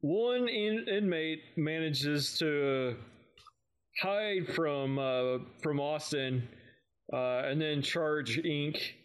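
A man with a somewhat high voice co-hosts a fan podcast. He recaps and chats casually, with an easygoing, informal delivery. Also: drawn-out words, smooth. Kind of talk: casual episode recap and chat.

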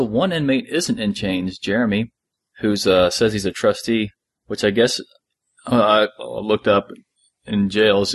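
Male podcast co-host, fairly low voice, casual chat, very dry sound. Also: no echo. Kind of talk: casual discussion of an audio drama.

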